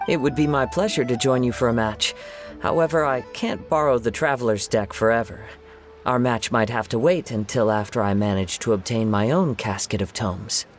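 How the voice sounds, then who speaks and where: A man playing a gentle, poetic young swordsman in a video game, speaking in a courteous, measured, formal way. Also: mid-range voice, lightly acted. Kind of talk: video game character acting. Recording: music behind.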